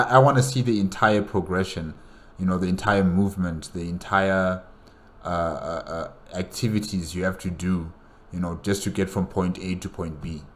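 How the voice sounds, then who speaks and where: A man's voice: deep voice, a bit bright. A man giving casual, rambling reaction commentary, often hesitating and repeating his phrases. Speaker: reaction video host.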